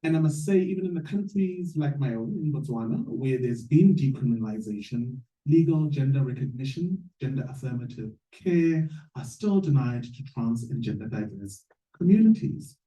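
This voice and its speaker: A man with a southern African accent giving a measured, earnest speech as an activist on a panel. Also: medium pitch, lively intonation, dark tone. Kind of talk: prepared public panel speech. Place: echoey room at a panel event. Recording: echoey room, distant mic.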